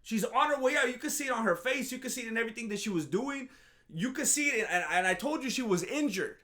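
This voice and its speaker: High-voiced joking commentary vlogger man. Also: expressive, quick pace, fairly bright tone. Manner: joking, sarcastic, self-mocking; rambling with frequent restarts. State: groggy after a nap. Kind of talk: casual comedic commentary monologue.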